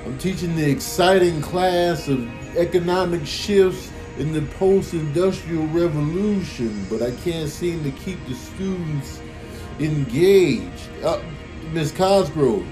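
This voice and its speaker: A man's voice: fairly high pitch, expressive, slow, over music, rough recording. A man playing a polite, awkward schoolteacher in a comic voiceover, formal and hesitant.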